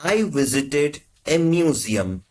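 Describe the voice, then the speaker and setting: A man teaching as a language tutor, reading short prompts in slow, measured phrases. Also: fairly high pitch, very animated, darkish tone. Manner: measured, carefully spaced phrases. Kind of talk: slow, deliberate lesson prompts.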